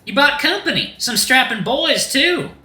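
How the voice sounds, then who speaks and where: Man acting as rooster drag queen, Southern accent, flamboyant, folksy, raised pitch, bright sharp tone, storytelling. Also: lively intonation, unhurried, reverberant, soft background music, full character voice. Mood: sassy, welcoming. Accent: put-on American Southern. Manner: folksy, effusive, uses terms of endearment.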